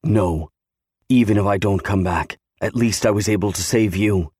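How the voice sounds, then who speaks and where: A man's voice: fairly low pitch, moderate pace, a little mellow, slightly rough, no echo, studio quality. A man voicing a steadfast young navy serviceman, earnestly reassuring his wife in an audiobook. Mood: earnest reassurance. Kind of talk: audiobook character acting.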